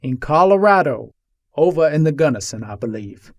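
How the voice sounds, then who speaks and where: A man playing a jailed Old West gunfighter in a dramatic reading, giving his account in a measured, matter-of-fact way. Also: fairly high pitch, animated, no room sound. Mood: matter-of-fact, guarded. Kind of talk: dramatic reading of an 1882 newspaper interview.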